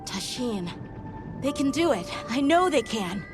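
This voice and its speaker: A woman voicing an earnest, determined young boy in a game cutscene, pleading and halting as he speaks. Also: on the high side, very animated. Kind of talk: dramatic video game cutscene acting. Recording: music behind the voice.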